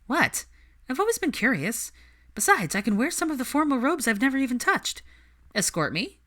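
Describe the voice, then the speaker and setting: A woman's voice: high-pitched, big pitch swings, normal speed, a bit bright, pitched above the performer's own voice. A woman voicing a bold, playful young woman in a story, with a breezy, teasing manner.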